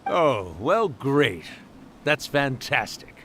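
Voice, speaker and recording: An ancient, weary storyteller spirit for an anime-style game. He is theatrical and wistful, teasing fondly with a lively, wide-ranging pitch, over clear game ambience. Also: drawn-out words, noisy background, heavily acted. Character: centuries-old male spirit, weary, theatrical, fond storyteller.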